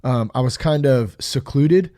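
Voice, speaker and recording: A man's voice: medium pitch, a little slow, mellow, no echo. Casting: stuffy-nosed man in his thirties, easygoing podcast host, dry close sound. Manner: laid-back, rambling with fillers. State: congested, sick. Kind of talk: casual podcast chat.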